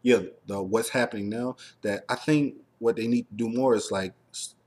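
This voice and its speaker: A man's voice: mid-range voice, fairly even intonation, moderate pace, a little mellow. A man hosting a casual review chat, rambling along and peppering his speech with filler words. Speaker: host of a TV review show.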